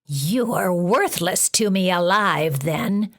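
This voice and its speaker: A woman voicing a stern, scratchy-voiced female monster in a storybook, speaking slowly with cold menace. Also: lowish voice, lively intonation. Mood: menacing.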